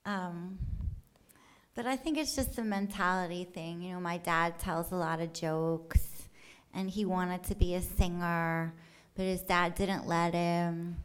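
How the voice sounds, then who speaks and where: A woman speaks at a very slow pace in a casual artist talk. Her delivery is colloquial and offhand, wandering from thought to thought with a joking touch. She addresses a live audience without a script. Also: on the low side, steady tone, clean tone. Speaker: artist giving a talk about their work.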